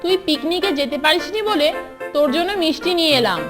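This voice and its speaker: A woman voicing a friendly, loyal young schoolboy in a children's cartoon, casual and chummy, with loud music behind. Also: high-pitched, animated, fast. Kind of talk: Bengali children's cartoon dubbing.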